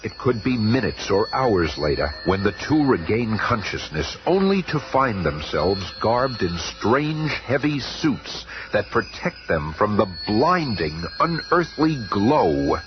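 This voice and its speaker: A man's voice: on the low side, big pitch swings, takes it slowly, few pauses. A man acting as a bombastic, suspenseful comic-book storyteller, narrating a superhero radio drama. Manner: hyped, suspenseful, theatrical. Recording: muffled old radio recording, music behind.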